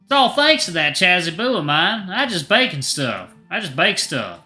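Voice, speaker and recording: A man's voice: a little high, sing-song, fairly quick, pushed and bright, faint music behind, voice pushed up, heavily acted. A man voicing a friendly, folksy cafe owner who chats proudly in a story reading. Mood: fond, chatty. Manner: folksy, chatty. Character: friendly, chatty male cafe owner and baker, proudly reminiscing.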